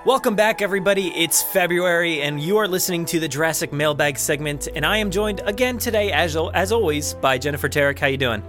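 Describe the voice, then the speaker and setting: A man's voice: fairly high pitch, fast, hardly any pauses, over music. A man with a New Jersey accent hosting a podcast in a casual, rambling, jokey and self-deprecating way. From New Jersey, USA. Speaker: podcast host.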